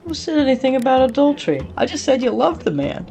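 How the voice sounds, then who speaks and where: A woman's voice: high-pitched, big pitch swings, fast talker, vocal fry, mellow, voice pushed up, heavily acted. A woman voicing a fat, cheerful, smug man who works as a teasing, casual heavenly clerk in an audio story. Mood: amused. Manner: jovial, smirking, casual.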